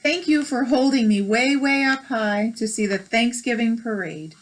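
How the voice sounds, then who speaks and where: American woman, fairly high voice, picture-book read-aloud, chatty asides, encouraging and instructive. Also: normal speed.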